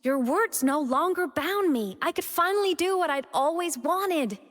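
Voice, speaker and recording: A woman voicing a young student turned digital ghost in a video game, cheerful yet wistful and devoted, with a soft, earnest delivery. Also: sits high, expressive, normal speed. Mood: intense, resolute. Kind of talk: scripted video game character monologue. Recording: long reverb on the voice.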